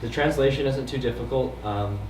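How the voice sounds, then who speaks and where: A teenage high school student answering questions on a panel, hesitant and full of fillers. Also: male voice, fairly quick. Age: late teens. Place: community event hall. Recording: echoey hall, distant mic, background noise.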